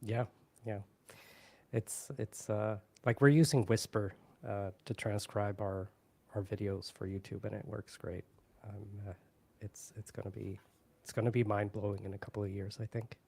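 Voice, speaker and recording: Relaxed male live-stream host. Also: lowish voice, normal speed, vocal fry. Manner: relaxed, full of fillers and restarts. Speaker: event host. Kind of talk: casual live-stream chat.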